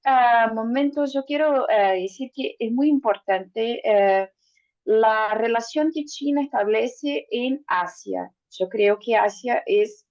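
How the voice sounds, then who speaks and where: A woman whose first language is Portuguese, speaking as a sociologist guest on a panel, hesitant with many drawn-out "ah" fillers. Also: fairly high pitch, darkish tone, muffled recording. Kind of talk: panel discussion.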